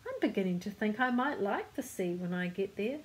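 A woman's voice: medium pitch, big pitch swings, brisk, natural voice. A woman voicing a plucky, dutiful little man in a storybook, with a polite, smart manner.

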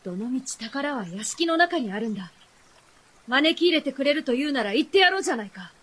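A woman's voice: sits high, animated, fairly quick, fairly bright tone, a little hiss or hum. An actress playing a brash, defiant young hero who talks bluntly like a boy in a radio drama. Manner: blunt masculine speech. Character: brash, defiant, boyish-talking youth, reckless and proud.

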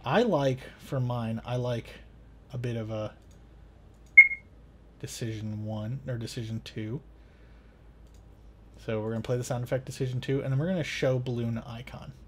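Guy in his 30s doing a casual how-to, medium pitch, talking thru each step. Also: fairly even intonation, moderate pace, long pauses, background noise. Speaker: tutorial host. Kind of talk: casual step-by-step screen tutorial.

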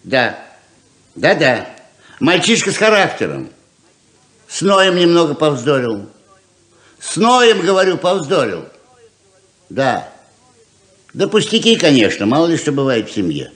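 A man's voice: a little high, sing-song, unhurried, pauses often, a bit bright. A man playing an easygoing older father of grown sons in a 1970s Soviet radio play, mild and wry in manner. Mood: concerned, polite.

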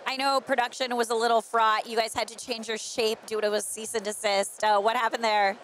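A woman's voice: on the high side, level tone, brisk, fairly bright tone. A woman in her thirties interviewing on camera with a handheld microphone, in a conversational presenter's manner. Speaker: editor and interviewer. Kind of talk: on-camera trade show interview. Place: busy trade show booth indoors. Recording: faint convention hall background noise.

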